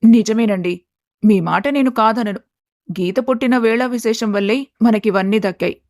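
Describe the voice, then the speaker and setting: Actress as caring village mum, middle-aged, motherly scolding manner, raised pitch, dry close sound, family story. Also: normal speed, a little mellow, no echo, lightly acted. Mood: calm, content. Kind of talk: dramatised moral story acting.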